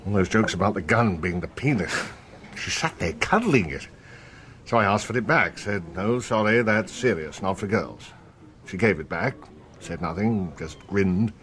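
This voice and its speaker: An actor playing a wry, world-weary French police inspector in a naturalistic radio drama, sardonic and colloquial. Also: male voice, low-pitched, animated, normal speed, a bit bright, a little hiss or hum. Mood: wry recounting.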